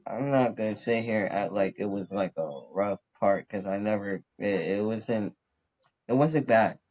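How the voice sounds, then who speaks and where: A man's voice: on the low side, normal speed, a little mellow, rough recording. A man of twenty from Connecticut, a rapper guest talking casually and laughing often. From Bridgeport, Connecticut. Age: 20s. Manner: laid-back, laughs often, slangy. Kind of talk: casual interview chat.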